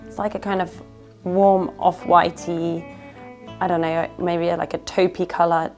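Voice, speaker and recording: A woman in her thirties with a hoarse, husky voice from a cold, chatting casually to camera while decorating a small indoor laundry room. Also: lowish voice, level tone, unhurried, a little mellow, faint music behind. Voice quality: husky, lost voice. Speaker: vlogger renovating a home. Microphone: camera on a stand nearby.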